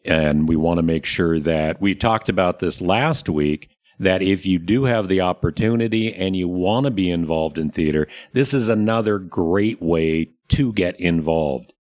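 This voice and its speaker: Low-voiced man hosting a casual chat. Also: a little slow, hardly any pauses, a little mellow. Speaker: radio show host.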